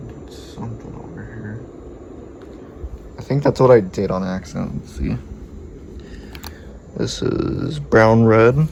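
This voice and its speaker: A man's voice: lowish voice, takes it slowly, creaky, long pauses. A man thinking aloud in casual, sweary repair commentary, muttering and musing to himself as he works on a noisy home video. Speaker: hobbyist radio repairer filming his work.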